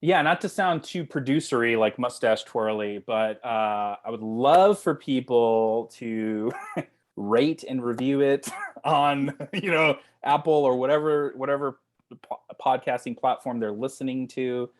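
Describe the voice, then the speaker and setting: A man's voice: mid-range voice, a little slow. A man with a mid-range voice talks casually as a guest on a video Q&A. His manner is informal and joking, like a director chatting about his own work. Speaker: writer-director, panel guest. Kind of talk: casual chat. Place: video call.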